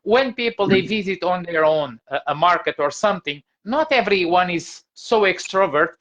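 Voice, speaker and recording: Portuguese-accented man, higher voice, lo-fi. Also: animated, normal speed, fairly bright tone. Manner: playful, self-deprecating. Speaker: tour guide, podcast guest. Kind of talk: casual podcast conversation. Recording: dull lo-fi audio.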